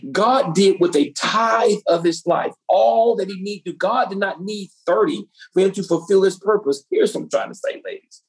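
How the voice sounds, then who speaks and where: A woman's voice: medium pitch, normal speed, few pauses, breathy edge, rough recording. A woman pastor preaching a sermon to a women's group, asking the audience rhetorical questions.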